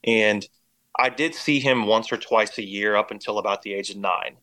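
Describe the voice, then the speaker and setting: A man's voice: lowish voice, normal speed, sharp tone. An American man giving personal testimony as an interview guest, speaking in an earnest, reflective way. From Indiana and Oklahoma, United States. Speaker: podcast guest.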